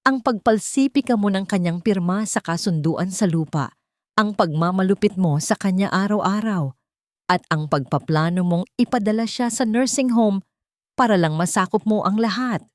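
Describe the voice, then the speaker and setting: A woman's voice: mid-range voice, normal speed, a little mellow, no echo, studio quality, close to an everyday voice. A woman voicing a stern, cutting husband who confronts his deceitful wife in an audio drama story. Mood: furious.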